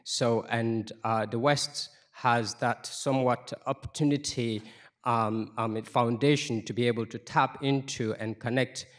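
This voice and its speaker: A man from Guinea, a lawyer, asking a long, measured question into a handheld microphone, with many fillers along the way. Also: mid-range voice, steady tone. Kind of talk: audience question at a lecture. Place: lecture room, seated in the audience.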